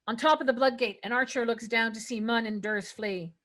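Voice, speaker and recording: A woman's voice: on the high side, fairly even intonation, fairly quick, a bit bright. A woman narrating stage directions at a scripted table read, occasionally stumbling over words.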